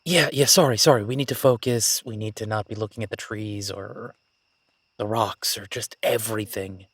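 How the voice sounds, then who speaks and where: A man playing an excitable, nerdy young academic on his first jungle expedition in a roleplay audio drama, gushing, stammering and apologetic. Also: fairly low pitch, normal speed, husky, no room sound. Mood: flustered, explanatory. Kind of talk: immersive roleplay audio drama, one-sided conversation.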